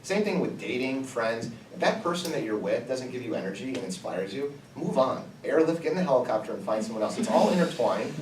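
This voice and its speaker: A man giving an energetic motivational talk to students, repeating key phrases for emphasis. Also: mid-range voice. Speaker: guest speaker. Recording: echoey room, distant mic, background noise.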